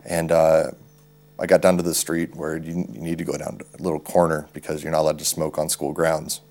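A man's voice: rumbling low, flat delivery, brisk, vocal fry, a little mellow. An American man giving an informal, blunt eyewitness recollection in colloquial speech. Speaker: eyewitness and former student giving an account.

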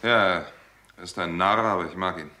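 An actor playing a sober, self-possessed doctor with a terse delivery in a 1960s German radio crime drama. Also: male voice, low voice, normal speed, sharp tone. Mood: matter-of-fact. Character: sober, self-possessed male doctor caught in murder case.

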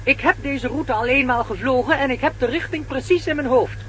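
A man's voice: very high-pitched, fairly quick, a little hiss or hum. A man in a radio play voicing a mysterious telepathic male pilot who speaks in formal, stiff sentences. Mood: calm assured.

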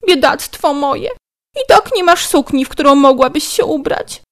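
A woman's voice: high-pitched, normal speed, voice pushed up. A woman voicing a scornful middle-aged stepmother in a storybook tale, gushing with false sweetness and mock pity.

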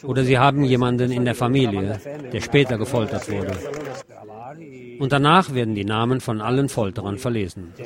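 A man's voice: mid-range voice, a little fast. A man narrating a street protest report as a radio reporter. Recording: crowd noise behind the voice. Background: protest crowd and street sounds.

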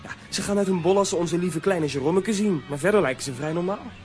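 A woman's voice: on the low side, fast, music in the background, close to an everyday voice. A woman playing a brave, sensible, bossy young boy in a children's radio play, earnest and commanding.

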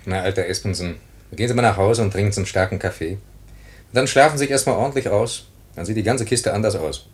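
A deep, husky voice of a young airline employee. He is easygoing, calm and matter-of-fact, delivered in 1950s radio play style. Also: fast. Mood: resigned, soothing. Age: young adult.

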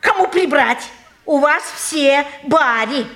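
A brash, gossipy village cook from old Russian theatre. Her high voice swoops about in a folksy sing-song, earthy and sharp-tongued. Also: lively intonation, slow pace, full character voice. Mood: grumbling, pitying. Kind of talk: 1950s Russian stage drama acting.

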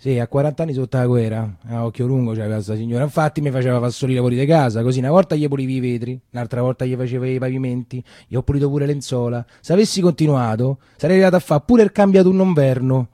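An actor playing a Roman man who recounts his wartime boyhood in colloquial, halting theatrical storytelling with repeated phrases. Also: mid-range voice, steady tone, warm tone, no room sound, studio quality, close to an everyday voice. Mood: reminiscing. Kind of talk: theatrical first-person storytelling.

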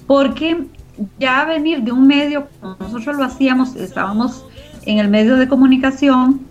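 A woman speaks as an interview guest, explaining things conversationally. Her voice sits on the high side. The audio is somewhat dull, with faint music behind her. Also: moderate pace. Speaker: interview guest from a community media organization.

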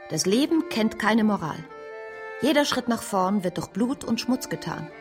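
A woman's voice: medium pitch, lively intonation, moderate pace, darkish tone, faint music behind, natural voice. An actress playing a wary, principled woman in a radio drama, speaking with earnest reproach. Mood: resigned, resolute. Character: wary, principled woman, a cautious but combative sister.

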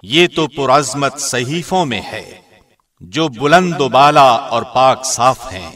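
A man reading a scripture translation as a scripted narration, in a measured, formal way. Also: mid-range voice, animated, fairly bright tone. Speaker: translation narrator, radio host.

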